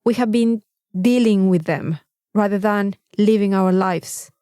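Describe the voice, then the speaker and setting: A woman's voice: medium pitch, unhurried, dark tone, smooth, no room sound, clean studio sound. A woman with a Mexican accent, a native Spanish speaker, talking candidly and casually as a podcast co-host and repeating phrases for emphasis.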